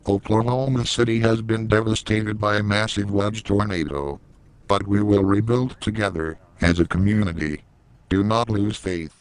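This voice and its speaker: A man's voice: lowish voice, moderate pace, clear, resonant tone, poor audio. A man reading scripted disaster news narration as an announcer. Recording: loud music behind the voice. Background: loud music.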